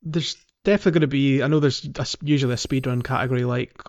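A man's voice: mid-range voice, fairly even intonation, rapid-fire, darkish tone. A man co-hosting a podcast, chatting casually about video games on a slightly lo-fi recording. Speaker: podcast host. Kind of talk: casual gaming podcast chat. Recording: dull lo-fi voice recording.

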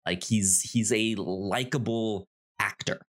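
A man co-hosting a podcast, chatting casually about television in a halting way, often repeating words and stammering over them. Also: on the low side, fairly even intonation. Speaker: podcast co-host. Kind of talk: casual conversational TV show discussion.

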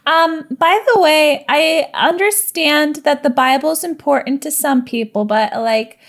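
A woman's voice: high-pitched, measured pace. A woman voicing a naive, chatty young woman from a goddess commune, rambling and giggly in a tabletop roleplay. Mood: cheerful. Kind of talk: in-character roleplay.

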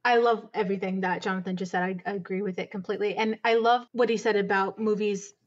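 An enthusiastic woman guest on a podcast, talking in long, earnest stretches. Her voice sits at an ordinary middle pitch. The sound is somewhat muffled and lacks top end. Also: steady tone, quick pace. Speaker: audio drama producer, guest. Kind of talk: conversational interview answers.

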